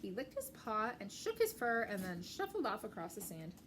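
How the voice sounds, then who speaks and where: A woman reading a children's story aloud in an expressive narrating style for young listeners. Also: medium pitch, moderate pace, slight background noise.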